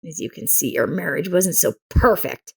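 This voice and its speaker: A woman's voice: sits low, animated, normal speed, gravelly, slightly rough, exaggerated voice. A woman voicing a gloating male villain in a story, hissing and mocking as she speaks. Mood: gloating, menacing. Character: sneering male villain, gloating lover with bat.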